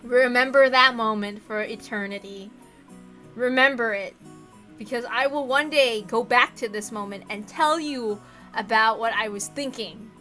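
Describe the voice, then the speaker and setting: A woman's voice: fairly high pitch, measured pace, bright, forceful tone, faint music behind. A woman reading a visual novel aloud expressively, with asides to her audience.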